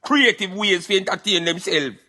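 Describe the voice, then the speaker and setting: A man's voice: sits high, lively intonation, moderate pace, sharp tone. A Jamaican man giving opinionated conversational commentary on a radio show, in patois with emphatic interjections.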